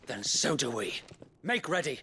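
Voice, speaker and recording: An actor playing a loyal, aging British knight who leads a rebel band in a video game, speaking with formal, archaic earnestness in a weathered voice. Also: male voice, medium pitch, sing-song, measured pace, vocal fry, bright, forceful tone, slight background noise, exaggerated voice. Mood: determined. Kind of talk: dramatic video game voice acting.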